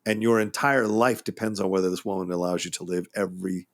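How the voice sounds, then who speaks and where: A man co-hosting a casual film podcast, animated and emphatic, laughing along as he talks. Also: sits low, fast talker, creaky. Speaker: podcast co-host.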